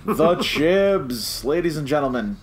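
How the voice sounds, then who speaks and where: A man's voice: fairly high pitch, lively intonation, slow, a little hiss or hum. A man chatting casually as a podcast co-host, enthusiastic and jokey. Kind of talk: casual podcast review chat.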